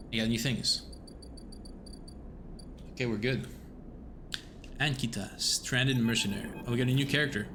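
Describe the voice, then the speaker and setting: A man's voice: mid-range voice, background noise. A man streaming a game, reading the text aloud and chatting in a rambling, self-mocking way, with some swearing.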